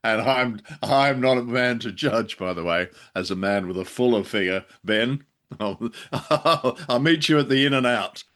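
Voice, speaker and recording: An older man chatting casually as a show co-host, jokey and anecdotal, with halting hesitations. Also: mid-range voice, a bit bright. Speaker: co-host of a radio drama show. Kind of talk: casual bantering chat.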